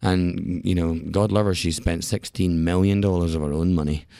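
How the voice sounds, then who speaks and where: A man's voice: deep voice, moderate pace, gravelly, no room sound. A man in his late thirties from Scotland, an actor telling stories with wry jokes and laughter as an interview guest in a podcast studio. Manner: expressive storyteller, wry jokes, frequent laughs. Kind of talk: casual interview talk.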